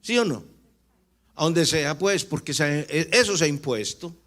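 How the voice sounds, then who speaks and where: A man's voice: a little high, lively intonation, measured pace, a bit bright. A man from Barranquilla with a Colombian Caribbean accent, preaching a teaching sermon, emphatic, repeating his points and putting rhetorical questions to his listeners. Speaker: preacher, apostle.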